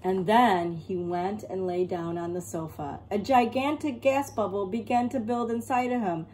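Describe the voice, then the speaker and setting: A woman reading a picture book aloud as an expressive storyteller, acting out the tale and explaining as she goes. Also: mid-range voice, slight background noise, rough recording. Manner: acts out characters and pauses to explain words. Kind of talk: expressive children's read-aloud.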